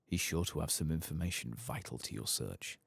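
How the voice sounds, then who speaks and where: A man softly reading out the lines of a guarded, calculating fantasy spymaster in a game. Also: a bass voice, expressive, fairly quick, creaky, slightly rough, no echo, clean studio sound, full character voice. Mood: grim, businesslike. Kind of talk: soft-spoken reading of game quest text.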